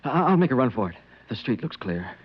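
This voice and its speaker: A man's voice: medium pitch, big pitch swings, a little fast, a little hiss or hum, lightly acted. An actor playing an anxious but polite working man, a passing stranger in a 1950s radio drama, plain and mildly nervous. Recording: dry, muffled old radio recording.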